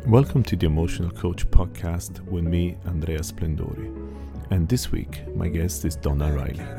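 A man hosting a podcast, reading a measured introduction. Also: deep voice, vocal fry, music in the background. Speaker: podcast host. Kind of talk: scripted intro then casual interview.

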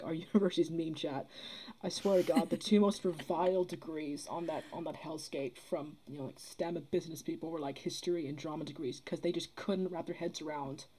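A woman's voice: low voice, warm tone, breathy edge. A young woman in a casual discussion, earnest and rambling, repeating phrases as she builds her argument. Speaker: podcast guest, theatre producer.

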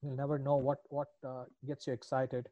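A man's voice: mid-range voice, level tone, moderate pace, no room sound, dull audio. A middle-aged man with a foreign accent in English, a business coach answering podcast interview questions in an earnest, reflective, rambling way.

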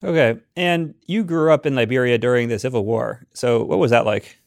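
A man's voice: medium pitch, moderate pace, creaky, dry recording, studio quality. A man asking questions as an interviewer in a casual, conversational way.

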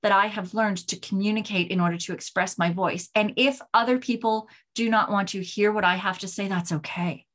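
A woman in her forties hosting a podcast, talking candidly in a rambling way, with many restarts and a pace that swings between slow and hurried. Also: medium pitch, steady tone, a bit bright. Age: 40s. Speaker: podcast host. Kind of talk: casual solo podcast talk.

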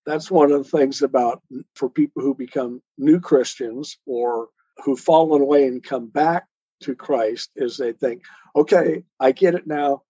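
A man's voice: medium pitch, unhurried, mellow, breathy edge. A man speaking as an interview guest, earnestly explaining spiritual ideas step by step in a didactic way. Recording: muffled, roomy, lo-fi sound.

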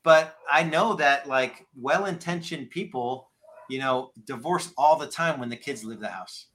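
Male podcast host, 50. Bright, forceful tone, medium pitch, earnest halting delivery, conversational interview style.

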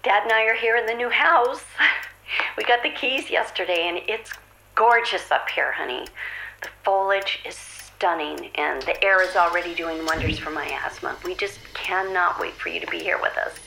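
A woman's voice: fairly low pitch, normal speed, vocal fry, sharp tone, a little hiss or hum, low-quality recording. An actress playing a doting, worrying middle-aged mother leaving an affectionate, chatty, fussing voicemail in an audio drama. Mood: cheerful.

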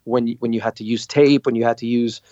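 A man from Lebanon, a guest on a podcast, telling his story in a lively way and acting out what others said. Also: mid-range voice, a little fast, dry recording, muffled recording. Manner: animated storyteller, acts out quotes. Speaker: guest, singer and producer. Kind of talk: casual interview storytelling.

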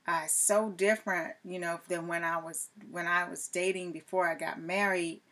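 A woman in her forties talking candidly to a camera as a podcast host, reflective and unhurried, with frequent fillers and restarts. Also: fairly low pitch, steady tone, a bit bright. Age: 40s. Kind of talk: casual personal talk to camera.

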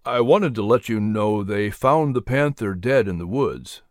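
Storybook role: gentle colonial preacher, a kindly man, mid-to-low pitch, close dry sound, acted by a narrator. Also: lively intonation, a little slow, dry recording, natural voice. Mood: gentle, reassuring. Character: calm, kindly colonial minister, gentle male mentor.

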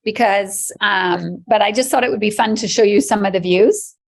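A woman in her forties speaks on a video call from a beachfront apartment. She talks in a loose, chatty way and fills her pauses with ums. Her pitch sits in an ordinary middle range for a woman. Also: vocal fry. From Barbados. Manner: chatty, warm enthusiasm with frequent ums. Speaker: real estate agent. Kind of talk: casual interview answers.

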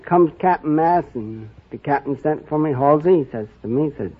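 A man's voice: on the high side, lively intonation, moderate pace, husky, a faint crowd. An American man, a contestant on a radio show, telling yarns in a casual, folksy, rambling way. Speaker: quiz show contestant, former sailor. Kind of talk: casual storytelling. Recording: muffled old-time radio with audience. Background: studio audience.

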